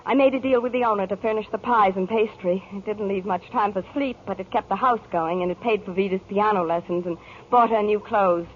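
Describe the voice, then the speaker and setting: An actress playing a devoted, long-suffering mother in a 1940s radio noir drama, speaking earnestly and sometimes haltingly. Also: mid-range voice, close to an everyday voice. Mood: weary, reflective. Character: hardworking middle-aged mother, devoted, long-suffering, recounting her troubles. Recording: muffled, noisy 1940s radio broadcast.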